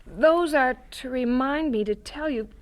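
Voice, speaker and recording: An earnest young missionary wife in an audio drama. Her voice is very high, and she comes across as conscientious and sincere. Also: measured pace. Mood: sheepish, confessing.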